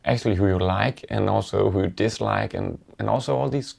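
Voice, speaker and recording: Gravelly Danish-accented man, low and flat. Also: brisk. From Denmark. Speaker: interviewee. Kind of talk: casual reflective storytelling.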